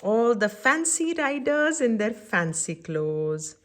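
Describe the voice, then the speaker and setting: A woman reads a children's picture book at a slow pace with lively, varied pitch. She mixes the reading with simple asides to her young listeners. She often checks that they are following along. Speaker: children's story-time reader and host.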